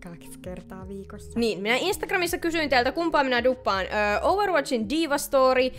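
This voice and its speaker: A young woman in her twenties with a high voice talks casually to her viewers. She speaks close to a studio mic, with faint music behind her. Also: animated. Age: 20s. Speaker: YouTuber and fan dubber. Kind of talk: casual vlog chat. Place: home kitchen, indoors. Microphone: large studio mic close to the face.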